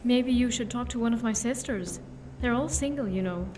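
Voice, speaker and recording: A woman's voice: a little high, normal speed, far from the mic, very noisy, natural voice. A woman voicing a playful, teasing young woman from a sailor family.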